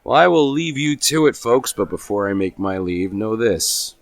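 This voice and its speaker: A man's voice: fairly low pitch, lively intonation, moderate pace, no room sound. A man playing a smug, menacing small-town bully in a horror radio drama, delivering threats with mock courtesy. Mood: smug menace.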